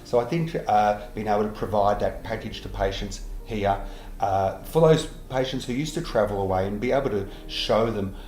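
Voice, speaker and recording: A man's voice: fairly low pitch, normal speed, darkish tone. A middle-aged man, a dentist, answering interview questions earnestly and often repeating words as he starts a sentence. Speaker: implant dentist. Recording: echoey room with music behind.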